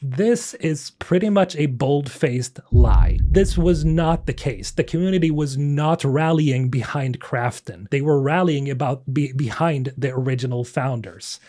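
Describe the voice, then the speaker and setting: A young man giving casual gaming news commentary, animated and incredulous, with a voice getting raspy and tired. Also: mid-range voice, measured pace, few pauses. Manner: animated, incredulous, with frequent fillers and laughs.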